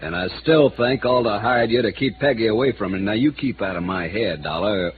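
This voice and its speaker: A man's voice: on the low side, fairly quick, natural voice. An actor playing a belligerent young oil-field foreman, curt and challenging, in vintage radio style. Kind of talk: 1950s radio acting. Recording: muffled, noisy vintage radio broadcast.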